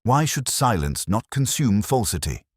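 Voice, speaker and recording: A man's voice: lowish voice, big pitch swings, measured pace, husky, no room sound, clean studio sound, pitched above the performer's own voice, exaggerated voice. A man voicing a proud, bitter woman librarian in a mystery story, with a haughty, grandiose manner. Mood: bitter contempt.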